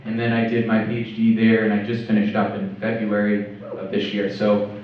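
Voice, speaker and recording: A young man speaking on an engineering panel to students, upbeat and quick, often adding "right?". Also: on the low side, completely flat delivery, noisy background. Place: college cafe panel. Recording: roomy, distant, dull.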